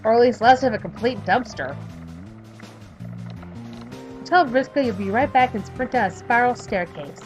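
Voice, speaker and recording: A woman in her thirties reading a visual novel aloud and chatting about it, emphatic while reading and quiet when talking about herself. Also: fairly high pitch, lively intonation, normal speed, long pauses, highs cut off, soft background music. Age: 30s. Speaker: let's play streamer reading a visual novel. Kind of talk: reading game text aloud and commenting.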